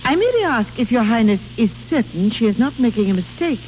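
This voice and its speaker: Prim disapproving countess, voice goes up n down a lot, muffled hissy old radio. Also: female voice, fairly high pitch, big pitch swings, fast talker. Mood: grudging obedience. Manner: prim, deferential, hesitant with superiors. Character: fussy, disapproving, obedient countess lady-in-waiting. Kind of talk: 1930s-40s radio comedy acting. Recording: dull, noisy old radio broadcast.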